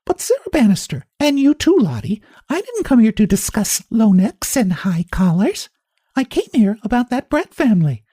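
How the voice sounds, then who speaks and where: A man voicing a lively, vain, sweet-talking older woman with a very high, fluttery voice in a storybook reading. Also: husky, no room sound, clean studio sound, full character voice. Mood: sweet teasing. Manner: sweetly teasing, quick.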